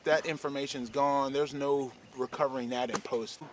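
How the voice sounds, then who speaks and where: A man, speaking casually outdoors under a shade tree into a camera-mounted shotgun mic, explaining fishing-video gear in a relaxed, rambling way. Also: mid-range voice, normal speed, slight background noise. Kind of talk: casual gear advice vlog.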